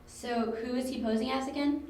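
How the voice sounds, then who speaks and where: A woman's voice: high voice, steady tone, normal speed, gravelly, a little mellow. A woman playing an impatient young stakeout partner in an amateur audio drama, questioning and skeptical. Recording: echoey room, distant mic, faint noise.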